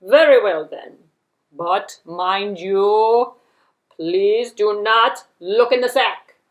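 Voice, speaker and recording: A woman's voice: medium pitch, muffled recording. Woman playing a bossy, drawling storybook fox. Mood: stern. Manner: formal, emphatic, drawn-out orders. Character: courteous but firm, bossy male fox trickster. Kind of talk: storybook puppet show voice.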